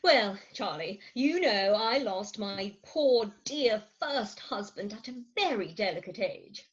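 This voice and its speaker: A woman's voice: medium pitch, animated, takes it slowly, breathy edge, highs cut off. A woman playing a fretful, theatrical middle-aged Victorian wife in a stage farce, confiding her woes emphatically over a video call. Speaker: actor in an amateur staged reading.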